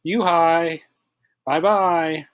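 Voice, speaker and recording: A man's voice: fairly high pitch, fairly even intonation, drawn-out words, smooth, highs cut off, voice pushed up. A man voicing boisterous, curious, teasing schoolchildren in a storybook, blunt and full of questions and exclamations.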